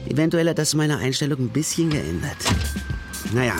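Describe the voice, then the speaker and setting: An actor playing a flippant, streetwise courier in debt, narrating a radio crime drama in colloquial, ironic asides. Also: male voice, a little high, very animated, fairly quick. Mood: wry. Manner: laconic, ironic, offhand asides. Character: flippant small-time drug courier in debt, male, streetwise. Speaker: narrator and lead character. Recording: loud music behind the voice.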